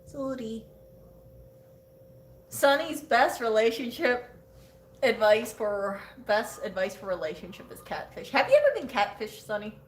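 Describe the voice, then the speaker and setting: A woman's voice: on the high side, sing-song, measured pace, pauses often, reverberant. A woman co-hosting a live stream, chatting casually and teasing her co-host, laughing often.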